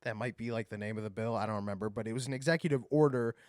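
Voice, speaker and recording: A man's voice: mid-range voice, rapid-fire, dry recording. Young man, from Ohio, podcast co-host, rambling casual talk, swears a lot, dry close sound. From Ohio, USA. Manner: loose and rambling, swears often, hedges with "I don't remember". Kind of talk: casual chat.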